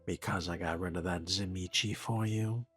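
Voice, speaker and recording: A man playing a cool young vampire fledgling in video game dialogue, speaking in a measured, deadpan, businesslike way. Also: deep voice, husky, faint music behind. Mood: curt, questioning.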